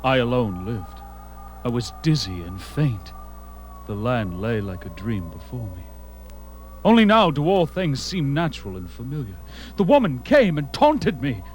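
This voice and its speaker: A man playing a battle-worn barbarian warrior in an audio drama, intense and bewildered, with halting, archaic speech. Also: medium pitch, sing-song, a little mellow, faint music behind. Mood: dazed.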